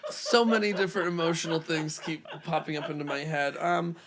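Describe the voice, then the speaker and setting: A woman's voice: low voice, brisk, a loud crowd. A woman hosting a casual, playful interview in an effusive, giggly manner. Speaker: host.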